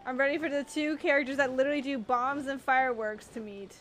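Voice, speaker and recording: A young woman streamer reacts to a game with excitable, gushing asides in a high voice. Her comments are quick and bubbly.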